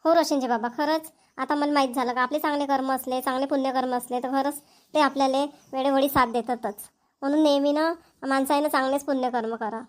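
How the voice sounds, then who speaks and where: A woman's voice: high voice, steady tone, brisk, natural voice. A woman voicing a talkative, homely village housewife in a cartoon, with a rural Varhadi accent and a folksy, emphatic way of explaining things. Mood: pleased, relieved. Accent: rural Maharashtrian, Varhadi. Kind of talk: Marathi village family cartoon dialogue.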